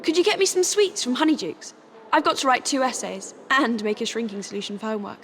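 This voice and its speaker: A woman's voice: fairly high pitch, fast talker, a little hiss or hum, natural voice. A woman playing a supportive, spirited teenage schoolgirl in a video game. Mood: friendly, asking. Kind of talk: scripted cinematic game acting.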